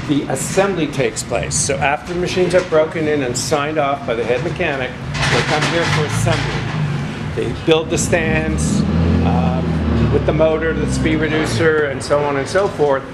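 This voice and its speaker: A man's voice: on the high side, measured pace, very noisy. An older man guiding a tour of his workshop, explaining each step in a plain, matter-of-fact way. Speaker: shop manager explaining work. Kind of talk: explanatory tour guide talk. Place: indoor workshop and warehouse.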